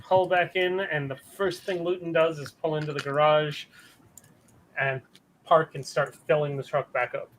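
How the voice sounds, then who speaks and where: Male GM, higher-pitched, easygoing, narrates the scene casually. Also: moderate pace, slight background noise, low-quality recording. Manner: relaxed, casual narration with fillers. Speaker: game master. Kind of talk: narrating a tabletop game.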